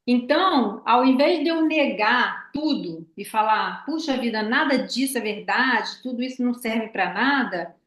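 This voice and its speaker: A woman's voice: sits high, reverberant. An older woman from Brazil with a Rio de Janeiro accent, a podcast guest telling nostalgic stories in casual chat. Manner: warm storytelling, nostalgic.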